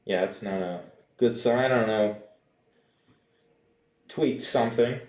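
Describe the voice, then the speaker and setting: A man's voice: lowish voice, slow. Young man calling soccer, roomy sound. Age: young adult. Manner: animated, descriptive calls. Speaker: play-by-play sportscaster. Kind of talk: live soccer radio commentary.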